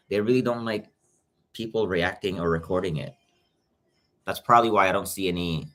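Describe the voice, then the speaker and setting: Young male reactor, Filipino accent, deep voice, casual livestream chatter. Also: fairly bright tone. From Philippines. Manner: chatty, greets viewers by name. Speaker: YouTube reactor.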